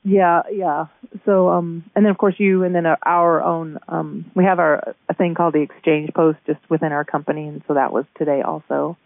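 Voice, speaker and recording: A woman being interviewed over the phone, casually recounting events in a halting way with repeated words. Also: low-pitched, fairly even intonation, vocal fry, no echo. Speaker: store manager being interviewed. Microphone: telephone call. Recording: muffled band-limited phone line.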